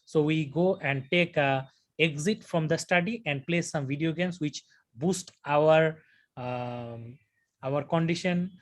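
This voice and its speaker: Hesitant Bangladeshi man, higher voice. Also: slow. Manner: hesitant, many fillers and restarts. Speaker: test candidate. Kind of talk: mock speaking exam answers.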